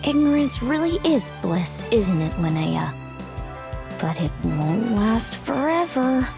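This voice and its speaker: An actress voicing a sly, menacing woman who taunts slowly and mockingly, with a gravelly edge. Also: fairly high pitch, sing-song, heavily acted. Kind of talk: video game voice acting. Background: game music.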